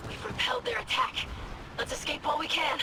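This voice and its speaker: An actor voicing an urgent, excitable fighter in a video game. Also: very high voice, brisk, gravelly, heavy background noise, heavily acted. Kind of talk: video game character dialogue.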